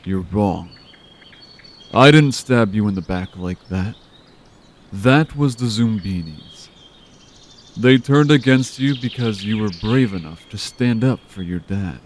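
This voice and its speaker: Guy voicing a sly manipulative villain, talks real slow and coaxing, audio drama. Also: fairly low pitch, slight background noise. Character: scheming male villain, smooth manipulative tempter. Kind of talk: amateur audio drama acting.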